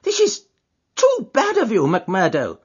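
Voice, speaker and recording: A man voicing a nervous, fussy middle-aged gentleman who pleads in a high-strung way in an audiobook. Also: huge pitch swings, unhurried, husky, heavily acted. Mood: pleading. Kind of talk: audiobook character voice. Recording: dull lo-fi audiobook recording.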